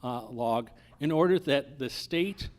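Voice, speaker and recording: A middle-aged or older man running for judge, giving a halting, earnest closing statement. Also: mid-range voice. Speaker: candidate for district judge.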